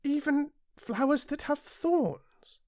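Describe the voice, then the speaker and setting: Man voicing a high-pitched, wistful little British boy prince. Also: lively intonation, slow, no echo, clean studio sound, heavily acted. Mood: curious. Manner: earnest, questioning, gentle. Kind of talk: storybook character voice.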